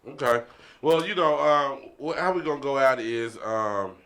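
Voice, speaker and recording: A man's voice: medium pitch, moderate pace, fairly bright tone. An American man hosting a casual group podcast chat, colloquial and repeating himself as he goes. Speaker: podcast host.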